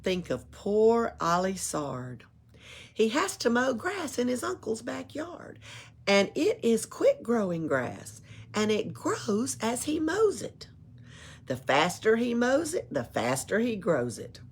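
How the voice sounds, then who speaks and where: A woman's voice: on the high side, takes it slowly, breathy edge. A woman reading a rhyming picture book aloud at a library storytime, playful, with little asides of her own. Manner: expressive, playful, adds asides and chuckles.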